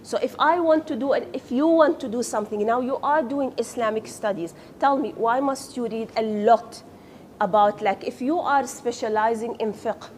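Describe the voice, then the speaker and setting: A woman's voice: a little high, normal speed, a little mellow, a little hiss or hum. A woman whose first language is Arabic, teaching a class and quizzing her students, then praising their answers. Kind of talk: classroom lecture with questions.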